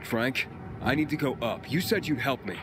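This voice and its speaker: An actor playing a determined, defiant young survivor in a video game drama. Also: male voice, mid-range voice, animated, normal speed, over music, natural voice.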